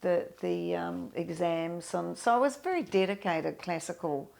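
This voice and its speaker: An older woman, reminiscing in an interview in a halting, thoughtful way with many pauses. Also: fairly low pitch, lively intonation, creaky, a little mellow. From New Zealand. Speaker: guest, pianist and composer.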